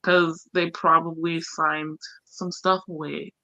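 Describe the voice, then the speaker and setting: A woman's voice: deep voice, little pitch movement, slow, bright, forceful tone, no room sound, muffled recording. A woman chatting casually about books as a panelist, wry and informal and happy to swear. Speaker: book discussion panelist. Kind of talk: casual book chat. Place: online group live stream.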